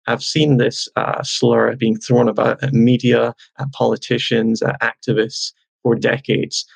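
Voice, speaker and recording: Brief: gravelly male guest, husky and sardonic, dry mic. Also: medium pitch, dry recording, low-quality recording. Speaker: guest journalist. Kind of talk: measured interview answers.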